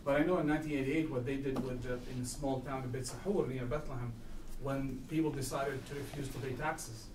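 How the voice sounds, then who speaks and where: A man from Palestine speaking up at a public talk, earnest and halting with many restarts. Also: medium pitch, steady tone. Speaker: audience member speaking at a talk. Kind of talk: public Q&A comment. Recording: echoey room, distant mic, noisy.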